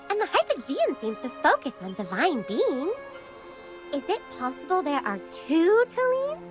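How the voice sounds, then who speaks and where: Very high-voiced young fantasy adventurer. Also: female voice. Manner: questioning, earnest. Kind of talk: scripted game voice acting.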